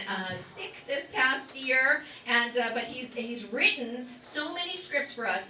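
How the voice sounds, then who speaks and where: A woman's voice: fairly high pitch, sharp tone. A woman informally introducing a live show to an audience as its host. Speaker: instructor hosting a live show. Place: room before a live audience. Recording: echoey room, distant pickup, audience noise.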